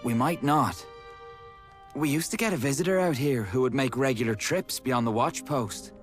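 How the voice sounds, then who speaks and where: A man's voice: mid-range voice, normal speed, close to an everyday voice. A man playing a loyal, helpful mercenary in a fantasy video game cutscene. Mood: confident, helpful. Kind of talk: English game cutscene voice acting. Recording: music behind the voice.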